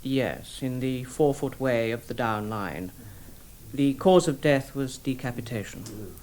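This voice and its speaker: A man's voice: medium pitch, unhurried, darkish tone, a little hiss or hum, natural voice. A man playing a formal witness at an inquest in a radio drama, giving evidence precisely and clinically. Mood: factual, formal. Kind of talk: British radio drama testimony.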